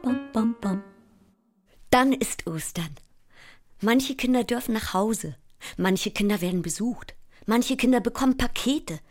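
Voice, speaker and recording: A high-pitched, feisty small woman, frazzled and theatrical, recalls her childhood in a solo show. She relishes wordplay and moves between hushed lines and declamation, with music clearly playing behind her. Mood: wistful. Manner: theatrical, crisply alliterative, swinging between whisper and declamation. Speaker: stage actress performing a solo show. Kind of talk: theatrical monologue with bursts of song and wordplay.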